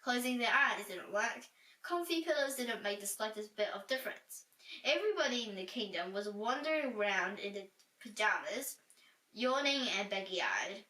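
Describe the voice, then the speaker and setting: A woman reading a children's storybook aloud in an animated, dramatic and expressive way. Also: medium pitch, moderate pace. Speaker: storybook reader. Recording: roomy echo, distant from mic.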